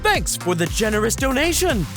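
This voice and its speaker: A man's voice: very high-pitched, big pitch swings, normal speed, over music. A man playing a gleefully sadistic livestream host in an audio drama, grandiose and singsong, with mock courtesy. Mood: gleeful showmanship.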